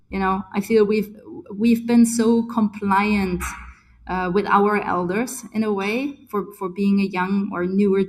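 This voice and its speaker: A woman's voice: medium pitch, rough recording. Forties woman on a video call, fired up and emphatic, keeps saying right?. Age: 40s. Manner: passionate, emphatic, ranting with fillers like "right?". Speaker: guest speaker on a community call. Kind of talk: casual chat.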